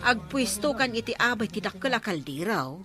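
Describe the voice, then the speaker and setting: Bossy village woman, slightly high voice, Ilocano accent, radio comedy drama, clear background music. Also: big pitch swings, normal speed. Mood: organizing. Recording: music behind the dialogue.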